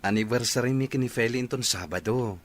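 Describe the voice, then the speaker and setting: A man's voice: medium pitch, fast talker, close to an everyday voice. A man playing a devoted, slightly shy young boyfriend in a radio drama, speaking politely and hesitantly with an Ilocano accent. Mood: polite, eager.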